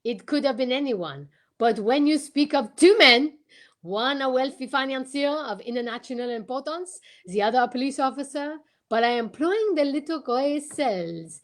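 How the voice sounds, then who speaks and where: A self-assured Belgian gentleman detective from an old-time radio mystery, acted by a woman. The voice sits on the high side, with a courteous, unhurried theatrical delivery sprinkled with French phrases. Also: expressive. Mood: calm, knowing.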